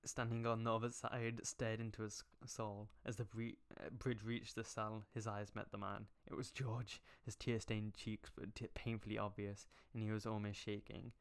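Low-voiced sarcastic man reading aloud. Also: flat delivery, moderate pace, few pauses, clean tone. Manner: sarcastic, stumbling over words. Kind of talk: mocking fan fiction read-aloud with asides.

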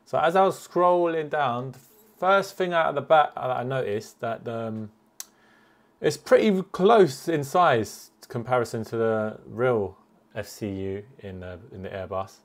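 A man's voice: medium pitch, measured pace. A man speaking informally as a video host, thinking aloud in a conversational commentary on a product. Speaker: flight sim video host. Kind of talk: casual product commentary over a screen recording.

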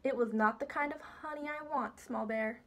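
A woman's voice: high-pitched, normal speed, a bit bright. Bragging dad bear, proud and stubborn, sing-song rhymes, lady doing the voice. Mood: boastful.

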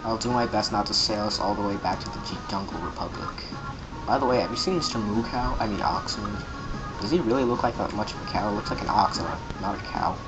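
Man, lowish voice, casual let's play commentary, jokey game-dialogue readings, loud game music behind. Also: fast, poor audio. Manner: joking, reads in-game dialogue aloud with playful voices. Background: loud video game music.

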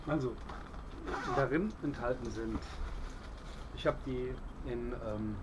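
A middle-aged man talks slowly and haltingly as he walks through his gear on an outdoor patio. His voice sits at an ordinary pitch. Clear outdoor noise and birdsong run behind him on the camera mic. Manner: relaxed, hesitant, many fillers. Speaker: bushcraft video host. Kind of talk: casual show-and-tell.

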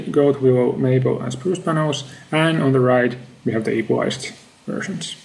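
A man in his thirties with a creaky voice gives a measured, matter-of-fact research presentation in halting phrases. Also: mid-range voice, darkish tone, rough recording. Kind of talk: scripted academic slide presentation.

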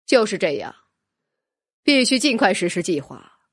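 A man's voice: high voice, big pitch swings, moderate pace, a bit bright, slightly rough, dry recording, clean studio sound, exaggerated voice. An actor playing a ruthless young nobleman in a fantasy audiobook, giving orders in a cold, commanding, threatening manner. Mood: cold, menacing. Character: ruthless young nobleman plotting to kill his brothers. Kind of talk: audiobook character acting.

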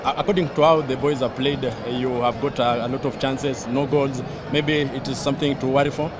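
A man's voice: mid-range voice, steady tone, a little fast, noisy background. A man asking a football coach questions, hesitant, at an outdoor press scrum. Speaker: sports reporter.

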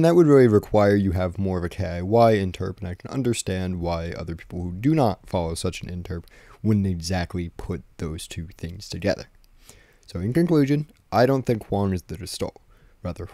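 Young male essay narrator, low register with heavy fry, close and dry recording. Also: dark tone, no room sound. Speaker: video essay host. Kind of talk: scripted commentary narration. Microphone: close dry voice-over microphone.

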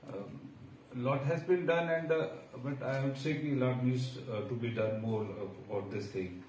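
A man's voice: medium pitch, normal speed, darkish tone, slight background noise. A man with an Indian English accent giving a formal address, hesitant with many fillers. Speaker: health official. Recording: echoey hall from far off.

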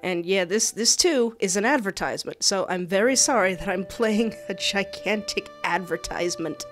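A woman's voice: mid-range voice, moderate pace. Woman doing playful gaming commentary, music behind. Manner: expressive, jokey, theatrical. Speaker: gaming video host. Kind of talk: playful let's-play commentary with read-aloud game text. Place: small home room. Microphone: close microphone on boom arm.

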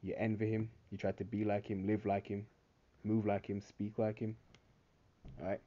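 A man's voice: fairly low pitch, completely flat delivery, moderate pace, warm tone, dull audio. A man giving preachy religious commentary over a screen recording, checking in with "all right?" over and over. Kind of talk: screen-recorded commentary reading articles and scripture.

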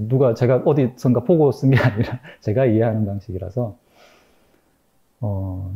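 A man in his forties giving a public lecture from a lectern, thoughtful and hesitant with many fillers and pauses. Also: medium pitch, warm tone, lo-fi sound. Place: gallery lecture room with projector. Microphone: microphone stand at lectern.

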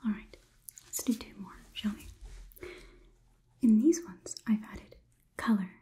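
A young woman whispering an ASMR roleplay close to the mic as a coolly logical robot overlord, in a halting, clinical, deliberate way. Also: mid-range voice, mellow, a little hiss or hum. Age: young adult. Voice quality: breathy, unvoiced whisper. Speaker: ASMR performer. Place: small indoor room. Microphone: close microphone.

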